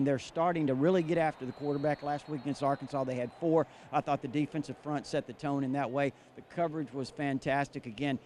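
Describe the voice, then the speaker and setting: Male sports analyst, mid-pitched, faint background noise. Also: a little mellow. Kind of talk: unscripted game analysis. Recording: faint ambient noise, on location.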